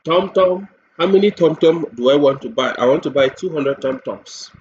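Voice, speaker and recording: A man presents a software demo step by step, deliberately, repeating phrases and posing questions to himself as he goes. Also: a little high. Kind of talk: step-by-step screen tutorial narration. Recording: dull lo-fi mic with clear background noise.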